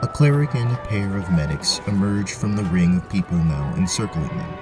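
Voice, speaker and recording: A man's voice: deep voice, lively intonation, normal speed, music in the background. A man narrating a scripted tabletop fantasy story as its storyteller. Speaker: narrator, game master.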